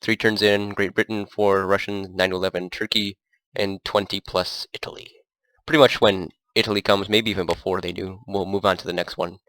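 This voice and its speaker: A man's voice: deep voice, brisk, no room sound, rough recording. A man with a stuffy nose and a cough, casually rambling as he plays a strategy game. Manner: relaxed, rambling, thinks aloud. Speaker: gameplay commentator. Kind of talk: casual let's play commentary. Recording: loud background noise.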